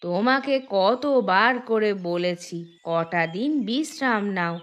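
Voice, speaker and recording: A woman voicing a caring, gently nagging old village grandmother for a cartoon. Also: mid-range voice, animated, takes it slowly, slight background noise. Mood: fussing, caring. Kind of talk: cartoon voice acting. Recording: music behind the voice.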